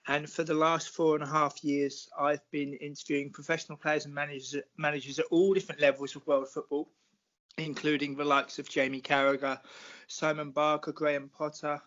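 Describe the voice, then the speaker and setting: British man, forties, podcast guest, measured explanations, fillers, dull lo-fi sound. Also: fairly high pitch, little pitch movement, fairly bright tone. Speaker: podcast guest, football interviewer. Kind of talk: casual podcast chat.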